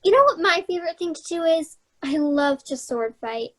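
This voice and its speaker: An actress playing a dreamy, earnest young fairy-tale heroine in a children's stage reading. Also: female voice, very high voice, lively intonation, moderate pace, fairly bright tone. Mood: bored, earnest.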